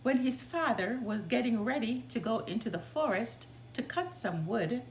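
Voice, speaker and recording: A woman reading a children's story aloud as a storytime host, with expressive, deliberate delivery. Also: a little high, a little hiss or hum, low-quality recording.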